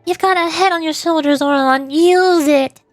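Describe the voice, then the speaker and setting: Woman playing a high-voiced, bossy scolding granny. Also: normal speed, dry recording, faint music behind. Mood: exasperated. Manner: scolding, exclamatory, indignant. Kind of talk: video game character acting.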